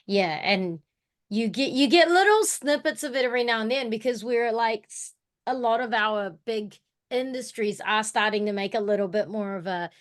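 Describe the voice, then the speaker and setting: A woman's voice: fairly high pitch, moderate pace. A woman with a New Zealand accent talking informally in an online workshop, thinking aloud as she co-presents. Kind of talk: casual reflective discussion in an online workshop. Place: online video call.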